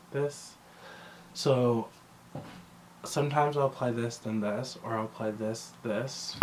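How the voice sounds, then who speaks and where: A man's voice: on the low side, a little hiss or hum, low-quality recording. A man talking casually in a vlog, rambling and conversational as he often interrupts himself. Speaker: vlogger. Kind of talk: casual chat to camera about personal routine.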